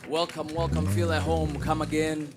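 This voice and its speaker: A man's voice: a little high, monotone, normal speed, loud music behind the voice. A man hosting a church service, giving welcomes and announcements in an exhortatory way, repeating words and calling for responses. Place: church with congregation.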